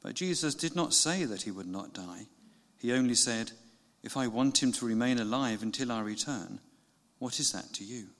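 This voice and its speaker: A man's voice: medium pitch, a bit bright. A man giving a formal reading of scripture as a church reader. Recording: long church reverb.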